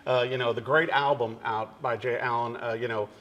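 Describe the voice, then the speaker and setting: A man's voice: medium pitch, steady tone, fairly quick, fairly bright tone, dull audio, a little hiss or hum. Chatty midwestern TV host man. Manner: chatty, affirming, plugs products. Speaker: TV show host. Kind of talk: interview chat.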